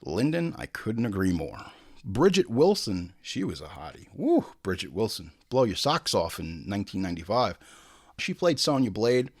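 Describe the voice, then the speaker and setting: A man's voice: lowish voice, big pitch swings, moderate pace. A man hosting a podcast, giving opinionated, casual film commentary in an exclamatory, profane manner. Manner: profane, exclamatory, acts out imagined dialogue. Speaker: podcast host.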